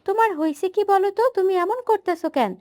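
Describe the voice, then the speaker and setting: Woman voicing a high stubborn cartoon girlfriend. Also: fast, darkish tone, no room sound. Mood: pleading. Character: proud young girlfriend, firm and stubborn. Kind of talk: cartoon voice acting.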